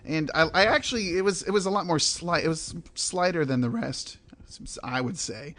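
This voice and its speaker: A man's voice: a little high, normal speed, fairly bright tone. A man hosting a film review podcast, moving between prepared remarks and conversational opinion. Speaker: podcast host. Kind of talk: film review, scripted intro and loose discussion.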